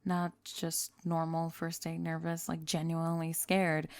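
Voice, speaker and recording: A woman plays a young female pop star in an intimate audio roleplay. Her voice sits fairly low with a crackly fry, recorded very close and dry. She confides candidly, a little nervous and worn by fame, yet kind and playful, often softening to a murmur. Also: completely flat delivery, measured pace, no room sound. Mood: nervous, confiding. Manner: confiding, hesitant, playful, trailing into soft murmurs. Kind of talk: intimate ASMR roleplay audio, one-sided date conversation.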